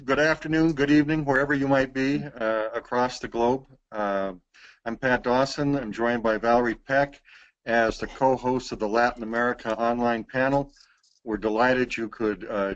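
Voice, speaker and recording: A man's voice: mid-range voice, lo-fi sound. A man co-hosting and moderating an online panel, introducing speakers politely with frequent fillers. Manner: courteous, filler-heavy introductions. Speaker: co-host and moderator.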